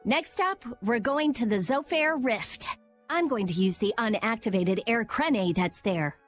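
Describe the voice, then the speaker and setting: A prickly, clever teenage mage from a video game. Her high voice moves briskly and expressively, explaining things bluntly. Music sits faintly behind the words. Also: lively intonation. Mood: matter-of-fact. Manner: brisk, matter-of-fact, snappy explaining. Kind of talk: animated game voice acting.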